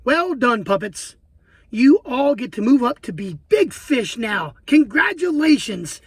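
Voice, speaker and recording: A man voicing a patient, gentle female swimming teacher in a children's storybook, polite and encouraging. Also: very high-pitched, sing-song, a little slow, husky, no echo, exaggerated voice. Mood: proud.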